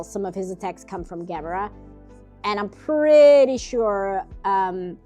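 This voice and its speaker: A woman's voice: medium pitch, mellow. A nasal-sounding woman streaming herself painting, chatting casually in rambling asides. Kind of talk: casual chat while drawing.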